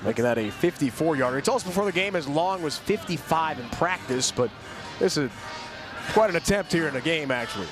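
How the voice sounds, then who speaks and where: An American man calling a football game live as play-by-play announcer, getting excitable on big plays. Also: on the high side, brisk, over music. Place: outdoor football stadium. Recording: very noisy outdoor stadium broadcast.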